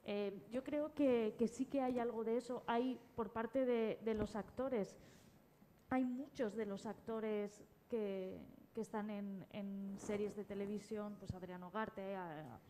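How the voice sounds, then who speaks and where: Woman, mellow mid-pitched voice, hesitant panel talk, background room noise. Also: fairly even intonation, slow, low-quality recording. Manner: hesitant, searching for words. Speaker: panel guest. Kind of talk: unscripted panel discussion.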